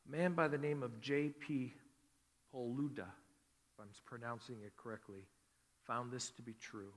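A male pastor preaching a sermon with earnest emphasis, rising on key words and dropping soft on others. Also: medium pitch, fairly even intonation, normal speed. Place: church sanctuary. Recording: long hall reverb.

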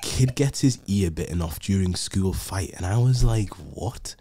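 Deep, mellow young man. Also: unhurried, slight background noise. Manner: relaxed, says "yeah" and "bruh" a lot. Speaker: podcast guest. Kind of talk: casual chat.

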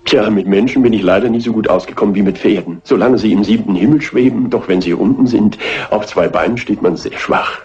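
An actor playing an eccentric, horse-loving older gentleman, courtly and chatty, in a dubbed crime film. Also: fairly low pitch, brisk, natural voice. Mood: courtly, earnest. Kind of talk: 1960s crime film dub. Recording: muffled husky old film soundtrack with music.

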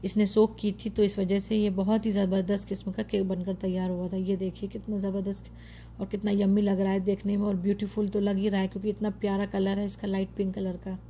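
A woman's voice: mid-range voice, little pitch movement, fairly quick, mellow, a little hiss or hum. A woman narrating her home cooking tutorial, chatty and full of praise for the dish, giving viewers step-by-step tips. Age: adult, mother of children. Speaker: home cook and channel host.

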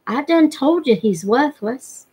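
A woman voicing a mild backwoods hunter father telling tales in a folksy, unhurried way for a novel reading. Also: high-pitched, lively intonation, warm tone, slightly rough, pitched above the performer's own voice, full character voice. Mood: mild, sly.

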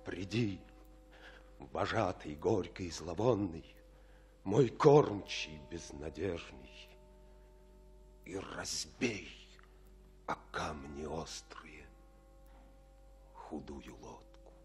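Doomed young lover, real slow n deep, solemn theatrical verse, bit of music under. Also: male voice, lively intonation, a little mellow, full character voice. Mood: solemn despair. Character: grief-stricken young lover bidding life farewell. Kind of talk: slow tragic radio-play verse acting.

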